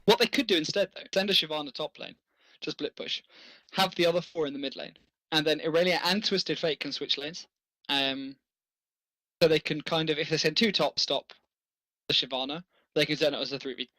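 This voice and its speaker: A man's voice: a little high, a little fast, pushed and bright, dry recording, rough recording. A man casting a video game match, chatting through strategy with enthusiasm and the odd chuckle. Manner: enthusiastic, analytical, chuckles often. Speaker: esports caster. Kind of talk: casual game commentary.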